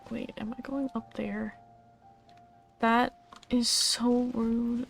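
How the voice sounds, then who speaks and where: Woman game streamer, worn out, gravelly low-key muttering over game music. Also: flat delivery, slow. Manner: mutters and whispers asides to the game. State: tired. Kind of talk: casual gameplay commentary.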